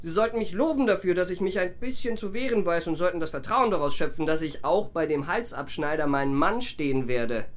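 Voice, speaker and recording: An actor playing a young hotel employee and charming con man in a German radio play, speaking politely and ornately with smooth self-assurance. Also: fairly high pitch, animated, fairly quick, few pauses, natural voice. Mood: self-assured.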